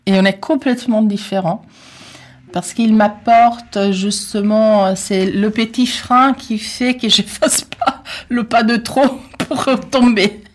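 A woman's voice: mid-range voice, steady tone, slow. A middle-aged Italian woman with an Italian accent, answering interview questions in a talkative, reflective way with many hesitations. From Italy. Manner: talkative, reflective, with frequent hesitations and soft laughs. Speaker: interview guest. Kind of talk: personal conversational interview.

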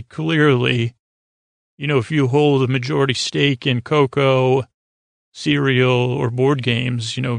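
A man with a creaky voice hosting a bedtime podcast in a soothing, meandering, hesitant monologue full of stammers and tangents. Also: mid-range voice, no room sound. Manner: soothing, lulling delivery. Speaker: podcast host.

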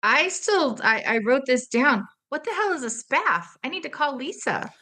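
A woman's voice: high-pitched, big pitch swings, normal speed, pushed and bright. A woman guesting on a podcast, chatting casually in a breezy, unabashedly sweary way. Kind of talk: casual chat.

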